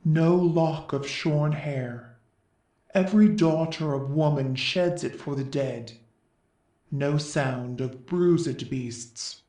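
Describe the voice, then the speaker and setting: A man's voice: on the high side, slow, dark tone. A man playing a mournful citizen in a Greek tragedy chorus, speaking solemn, elegiac verse. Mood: grave. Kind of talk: verse stage drama. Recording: echoey room.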